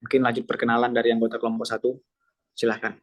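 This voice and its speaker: A young man from Medan leads his group's class presentation as moderator. His speech is formal and hesitant, full of "eh". His pitch barely moves as he reads the slides. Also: rapid-fire. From Medan, Indonesia. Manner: formal, filler-heavy, reads slides in an even voice. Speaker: student moderator.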